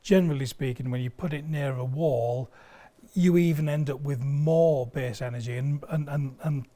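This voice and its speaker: A man's voice: mid-range voice, normal speed, dark tone. A man giving an enthusiastic product explanation in conversation, emphatic and fond of everyday analogies. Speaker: loudspeaker company sales director, guest. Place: video studio.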